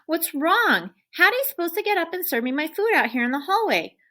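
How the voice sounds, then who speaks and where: Woman doing high, whimpering young male dog; excitable, pleading, storybook reading. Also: fairly quick, heavily acted.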